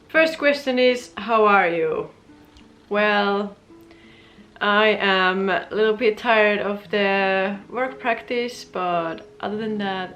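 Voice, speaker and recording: A woman's voice: mid-range voice, fairly bright tone. A woman in her twenties with a Finnish accent, whose first language is Finnish, chatting casually through a Q&A at home. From Finland. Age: 20s. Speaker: vlogger. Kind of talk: casual Q&A chat. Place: home room with plants, indoors.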